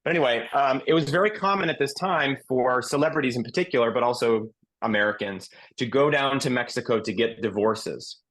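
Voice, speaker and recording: Male podcast panelist, medium pitch, explaining background in an informal conversational style. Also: a little fast, fairly bright tone, rough recording.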